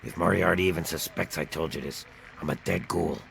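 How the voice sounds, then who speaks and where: A man voicing a timid, bitter ghoul bartender in a video game, with a gravelly rasp and a nervous, self-pitying manner. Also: deep voice, fairly even intonation, brisk, fairly bright tone, a little hiss or hum, exaggerated voice, poor audio. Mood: wary. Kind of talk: video game character dialogue.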